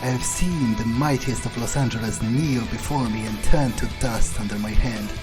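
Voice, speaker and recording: A man's voice: mid-range voice, normal speed, close to an everyday voice. A man voicing an arrogant, power-hungry male vampire in a cold, self-assured manner, roleplaying over a video game. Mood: triumphant. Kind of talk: in-character roleplay over gameplay. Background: game music.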